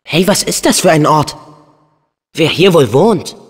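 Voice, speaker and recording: An actor voicing an earnest, excitable teenage boy hero in a video game, with a youthful, expressive and eager delivery. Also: a little high, unhurried, slightly rough. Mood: thoughtful, subdued. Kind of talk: video game character dubbing.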